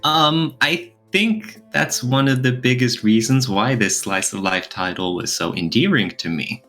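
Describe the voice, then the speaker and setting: A man's voice: medium pitch, expressive, measured pace, sharp tone, soft background music. A man co-hosting a podcast, talking casually in a thoughtful, explanatory way. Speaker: podcast co-host. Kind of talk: casual podcast discussion, reviewing.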